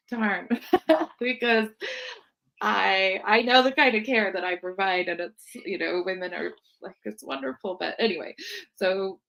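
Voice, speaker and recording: A woman's voice: mid-range voice, creaky, fairly bright tone. An American woman in her thirties, chatting casually as a guest in an interview. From United States, Seattle area. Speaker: podcast guest, birth worker.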